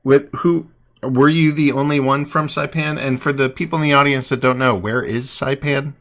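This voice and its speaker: A man's voice: mid-range voice, moderate pace. Chatty male talk-show host. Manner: relaxed, chatty, many fillers and restarts. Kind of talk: casual live call-in chat.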